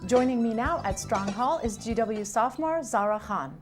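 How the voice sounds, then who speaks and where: Woman host asking measured questions. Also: a little high, expressive, faint music behind. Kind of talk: interview questions.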